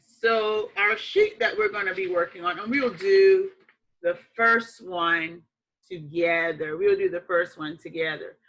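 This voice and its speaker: A woman's voice: fairly low pitch, unhurried, fairly bright tone, rough recording. A woman teaching a phonics lesson to young children as their teacher, using simple phrases, repeating points and asking questions. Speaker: elementary school teacher.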